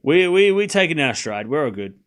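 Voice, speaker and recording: A man's voice: a little high, very animated, a little fast. A man with a mild Australian accent hosting a live tennis watchalong, mixing commentary with casual chat and repeating phrases for emphasis. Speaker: livestream watchalong host and commentator.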